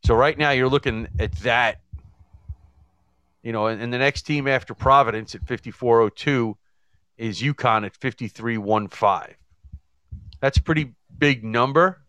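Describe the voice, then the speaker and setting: A man co-hosting a sports talk show who reads out rankings and numbers haltingly. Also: mid-range voice, a bit bright, smooth, dry recording. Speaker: co-host.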